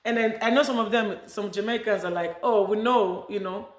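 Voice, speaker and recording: A woman's voice: medium pitch, brisk. A middle-aged woman from Africa talking casually to camera as a vlogger, rambling with many restarts. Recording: echoey room.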